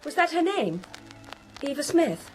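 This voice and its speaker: High-voiced, sensitive young daughter. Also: female voice, very animated, measured pace, a little mellow, poor audio. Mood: earnest, indignant. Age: young adult. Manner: earnest, questioning. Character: sensitive, curious young upper-class daughter, easily moved. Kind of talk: radio drama acting. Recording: music under the voice.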